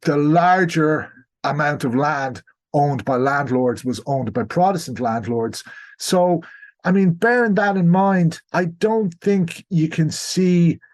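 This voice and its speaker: A man's voice: on the high side, takes it slowly, dry recording. A man speaking as a historian guest, explaining things informally and in a considered way, with asides and examples.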